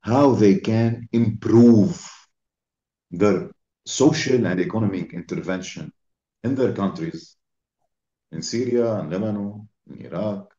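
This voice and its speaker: A man delivers a formal conference talk in slow, deliberate, emphatic phrases. His voice sits fairly low. The recording is muffled and lo-fi. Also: warm tone, reverberant. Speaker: invited speaker.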